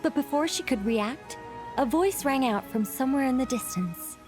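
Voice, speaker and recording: A woman's voice: sits high, animated, moderate pace, over music. An actress playing a theatrical, earnest young woman storyteller in a video game, formal in diction and fussy about her tale. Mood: dramatic narrating.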